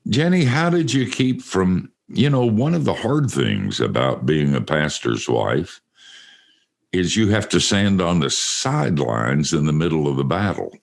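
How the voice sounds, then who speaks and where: An older man with a very deep, gravelly voice hosts an easygoing chat. He talks slowly and with lively swings in pitch, tossing in self-mocking jokes and chuckles. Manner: joking, self-mocking, laughs often. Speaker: radio show host. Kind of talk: casual interview chat.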